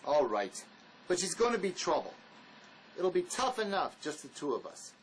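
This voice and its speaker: An actor playing a wary, blunt escaped convict in a drama, speaking in an argumentative, insistent manner. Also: male voice, sits high, big pitch swings, normal speed, a little hiss or hum, rough recording. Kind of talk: dramatic dialogue reading.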